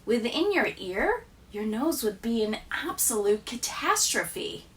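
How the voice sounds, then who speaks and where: A woman teacher reading children's poems aloud with a deliberate, expressive storytelling delivery. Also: fairly high pitch, far from the mic, slight background noise.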